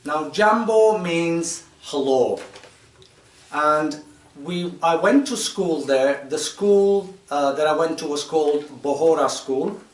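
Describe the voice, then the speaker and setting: Man in his fifties, from Tanzania, fairly high voice, measured and explanatory, distant mic, echoey room. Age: 50s. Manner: measured, explanatory, with pauses and fillers. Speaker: guest speaker to children. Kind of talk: informal talk to children.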